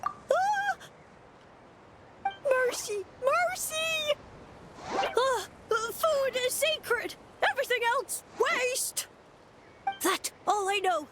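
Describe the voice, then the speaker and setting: A woman voicing a tiny, cute, timid fungus monster in a video game, squeaky, speaking in broken phrases. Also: expressive, very slow, long pauses, exaggerated voice. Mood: pleading. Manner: broken telegraphic speech. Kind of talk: video game creature acting. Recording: clear noise behind voice.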